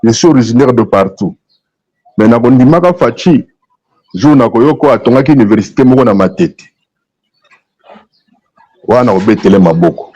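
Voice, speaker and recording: A man's voice: mid-range voice, animated, normal speed, long pauses, dark tone, muffled recording. A man from DR Congo with a Congolese accent, giving opinionated political views as a talk show guest, emphatic and repeating his phrases. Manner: emphatic, repeats phrases, switches between French and Lingala.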